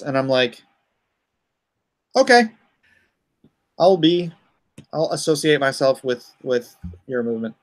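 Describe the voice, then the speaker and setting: Slow-paced man in casual livestream chat. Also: a little high. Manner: informal, excitable exclamations, halting as he reads and types aloud. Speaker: livestream host and comic artist. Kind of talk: casual livestream chat, reacting and thanking viewers.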